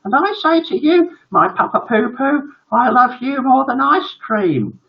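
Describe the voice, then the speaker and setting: A man's voice: very high voice, big pitch swings, a little slow, creaky, highs cut off, voice pushed up, heavily acted. A man voicing a spoilt, gushing little boy who talks in sickly-sweet baby talk for a children's story. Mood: gushing, smug.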